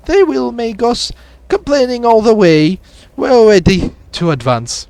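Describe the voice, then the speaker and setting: A man with a raspy voice plays a stern male soldier commander in clipped, grim reports. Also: extremely high pitch, lively intonation, measured pace, darkish tone, dry recording, pitched above the performer's own voice, exaggerated voice. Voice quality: raspy from a cold.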